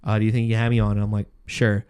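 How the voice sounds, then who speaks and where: A man's voice: lowish voice, little pitch movement, racing, a little mellow, clear, resonant tone. A man chatting casually on a podcast, telling stories with slangy swearing and acting out bits of dialogue. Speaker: podcast host and comedy show runner. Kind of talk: casual podcast chat, animated storytelling.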